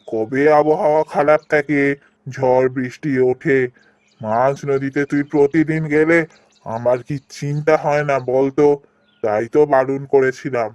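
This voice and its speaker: A man's voice: mid-range voice, a little mellow, no echo, natural voice. A man voicing a hard-working, protective elder brother, an earnest village farmer, in a cartoon. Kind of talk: cartoon voice acting.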